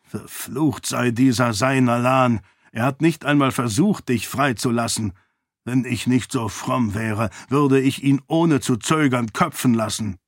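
A headstrong older king, played by a male narrator, with a medium-pitched voice. He speaks as a commanding, protective father in a fantasy audiobook. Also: unhurried, no echo, studio quality, lightly acted. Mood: indignant.

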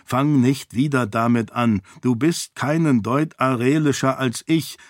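A man's voice: mid-range voice, measured pace, dry recording, studio quality, lightly acted. A man voicing a protective, headstrong king and father in a fantasy audiobook. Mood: stern.

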